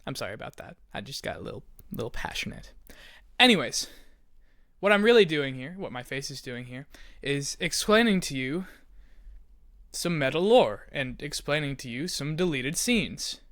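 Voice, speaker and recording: High-voiced man presenting, dry close sound. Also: very animated, normal speed, fairly bright tone, no room sound. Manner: playful, theatrical emphasis. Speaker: host, writer and creator of an audio drama. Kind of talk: conversational presenting to listeners.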